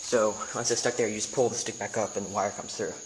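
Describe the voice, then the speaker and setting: A man's voice: fairly low pitch, fairly even intonation. American man, casual how-to, noisy recording. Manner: informal, halting, thinking aloud. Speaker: video tutorial host. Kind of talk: casual hands-on how-to demonstration. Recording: noisy amateur camera recording with clicks.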